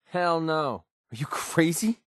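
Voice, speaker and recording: A cocky mercenary gunman from a video game. His voice is fairly high for a man and very animated, with a sarcastic, wisecracking edge. The recording is close and dry. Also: slow pace, no echo. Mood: wry, casual. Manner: casual, wisecracking, swearing. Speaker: voice actor for a game companion character. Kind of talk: video game voice lines, short barks.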